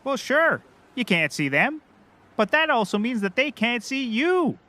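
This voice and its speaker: A man's voice: very high-pitched, very animated, unhurried, sharp tone, no room sound, heavily acted. A man playing a cocky, clueless small-time private eye in a scripted comedy, glib and rambling. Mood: breezy lecturing. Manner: glib, rambling, self-assured.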